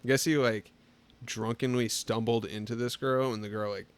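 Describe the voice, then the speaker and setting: A man in his twenties, a podcast guest, chatting casually and telling stories in a relaxed way, full of fillers. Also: medium pitch, moderate pace, clean tone. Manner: relaxed storytelling, lots of filler "like". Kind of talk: casual chat.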